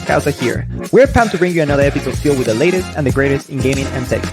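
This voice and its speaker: A man's voice: mid-range voice, lively intonation, rapid-fire. A man co-hosting a podcast, reading a scripted introduction to listeners. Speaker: podcast co-host. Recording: loud intro music underneath. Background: music.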